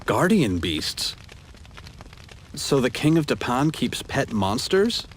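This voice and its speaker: An actor playing a brash, sceptical young travelling companion in a video game, complaining in a casual, sarcastic way. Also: male voice, medium pitch, big pitch swings, slow pace. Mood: incredulous. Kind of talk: video game cutscene acting. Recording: noisy game audio with background ambience.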